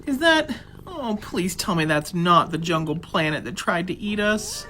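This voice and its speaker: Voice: mid-range voice, lively intonation, a little slow, bright, forceful tone. An actor playing a doom-predicting space scout in a sci-fi radio comedy, nervous and quoting statistics. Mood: anxious. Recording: clear background noise.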